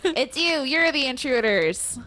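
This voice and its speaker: A woman's voice: high-pitched, animated, measured pace, pushed and bright. A woman co-hosting a casual gameplay chat, dropping in short, wry remarks and laughing often. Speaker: gameplay co-host.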